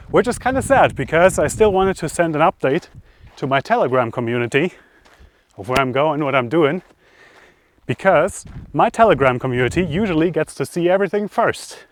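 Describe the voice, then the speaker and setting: Chatty male travel vlogger outdoors, noisy background. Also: on the high side. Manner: chatty, conversational, thinking aloud. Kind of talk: casual outdoor travel vlog narration to camera. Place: outdoors by roads, lakeside and mountains.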